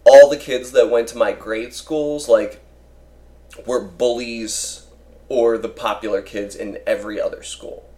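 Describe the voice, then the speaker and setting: A man with a deep voice talking in a rambling, casual podcast chat, swearing freely and filling gaps with "uh" and "like". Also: fairly even intonation, a little slow, mellow. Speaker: guest.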